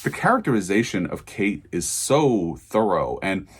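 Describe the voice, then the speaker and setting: Low-voiced man chatting about books. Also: sing-song, measured pace, slightly rough. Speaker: book reviewer vlogger. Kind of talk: casual book review monologue.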